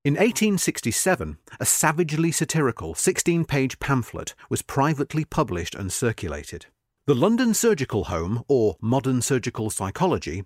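Expressive male narrator, dry close sound. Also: medium pitch, no room sound. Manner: measured, expressive reading with marked emphasis. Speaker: narrator reading a magazine article. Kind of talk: scripted narration.

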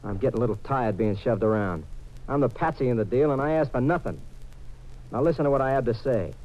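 A man's voice: mid-range voice, quick pace, lightly acted. An American actor playing a streetwise, wisecracking young tough guy in a 1950s radio detective drama, with slangy, wry delivery. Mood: firm, weary. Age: young adult. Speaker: lead actor and narrator. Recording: muffled, noisy old radio recording.

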